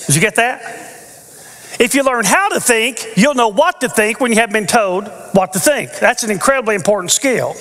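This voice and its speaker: A man's voice: high voice, lively intonation, fairly quick, pushed and bright. An older man preaching a teaching sermon from a church stage on a headset mic, earnest with playful asides. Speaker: pastor. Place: large church stage with audience. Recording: long reverb of a large church hall.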